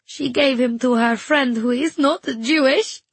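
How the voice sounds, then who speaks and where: A woman's voice: high-pitched, unhurried, slightly rough, dry recording, voice pushed up, exaggerated voice. A woman voicing a frightened, grieving young refugee girl in an audiobook, tearful and choked. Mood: tearful grief. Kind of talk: audiobook character dialogue.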